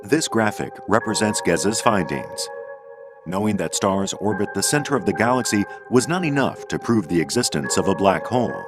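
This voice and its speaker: A man delivering scripted documentary narration in a measured, deliberate manner. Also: on the low side, big pitch swings. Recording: music under the voice. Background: background music.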